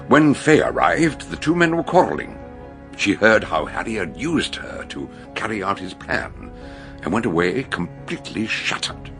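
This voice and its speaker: Older male detective in radio mystery, gravelly, genial but grave, swoopy deliberate explaining. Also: fairly low pitch, pushed and bright, heavily acted. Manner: hesitant openings, deliberate explanatory delivery. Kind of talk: radio mystery drama acting.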